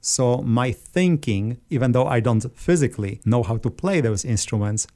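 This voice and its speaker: A man from Poland with a Polish accent, a guest organist calmly explaining things in a radio interview. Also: mid-range voice.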